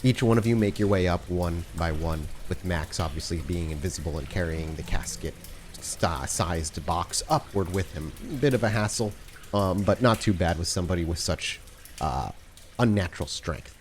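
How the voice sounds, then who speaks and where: A man's voice: sits low, normal speed, a little hiss or hum. A man working as game master, improvising descriptive narration for a tabletop horror role-playing game.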